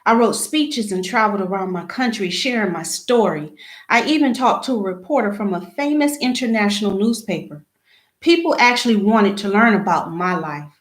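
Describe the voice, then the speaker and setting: A woman reading a children's picture book aloud, phrase by phrase, with expressive emphasis at the start of sentences. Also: medium pitch, moderate pace. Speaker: read-aloud storyteller. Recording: echoey room.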